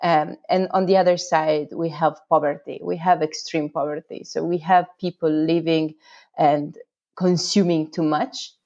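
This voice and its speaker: A woman's voice: low voice, creaky, a little mellow, highs cut off. A woman from Croatia with a Croatian accent, answering conversationally as an interview guest. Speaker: interview guest, urban activism project founder.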